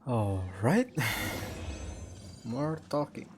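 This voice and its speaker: A man's voice: medium pitch, big pitch swings, very slow, heavy background noise. A man from Indonesia giving casual walkthrough commentary in brief, offhand remarks. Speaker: gameplay streamer. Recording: game music behind the voice.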